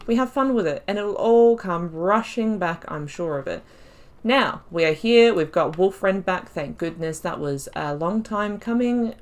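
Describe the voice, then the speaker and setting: A woman hosting a video game playthrough, talking in a chatty, rambling, self-deprecating and jokey way as she comments on her play. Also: medium pitch. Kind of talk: casual gameplay commentary.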